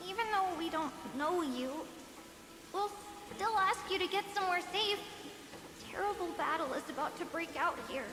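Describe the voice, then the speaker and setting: A woman playing a small, childlike girl in a video game, earnest, kindly and gentle, with a cute delivery. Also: extremely high pitch, animated, moderate pace. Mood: earnest, concerned. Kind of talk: scripted video game drama. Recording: echoey reverb over music.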